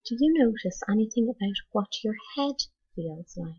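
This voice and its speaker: A woman leading a scripted guided meditation like a teacher, in a measured, instructional manner with deliberate pauses. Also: medium pitch, expressive, dark tone, husky, dull audio.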